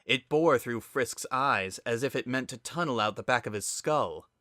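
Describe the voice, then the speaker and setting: A narrator giving a scripted dramatic story reading with an intense, suspenseful, measured literary delivery. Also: medium pitch, a bit bright, dry recording, studio quality.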